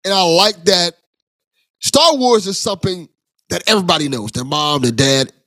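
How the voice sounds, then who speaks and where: A man's voice: high-pitched, lively intonation, moderate pace, bright, forceful tone, no room sound, studio quality. A man hosting a podcast, chatting casually and enthusiastically with a guest. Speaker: podcast host. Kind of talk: casual interview chat.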